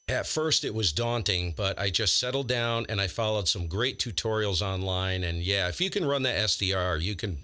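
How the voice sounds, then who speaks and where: A man's voice: lowish voice, pushed and bright, clear, resonant tone. A man chatting casually as an amateur radio operator, explaining things in an informal, rambling way. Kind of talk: casual ham radio chat.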